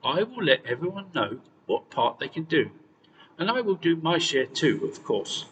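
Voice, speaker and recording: A man's voice: on the high side, sing-song, normal speed, fairly bright tone, big-room sound, far from the mic, slight background noise, voice pushed up. A man voicing a sweet, trusting young princess in a fairy tale. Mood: cheerful.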